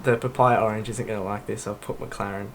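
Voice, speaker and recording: A man's voice: lowish voice, steady tone, fairly quick, slight background noise. A man co-hosting a podcast, chatting casually in an informal, blunt and jokey way. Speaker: podcast co-host. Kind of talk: casual panel chat.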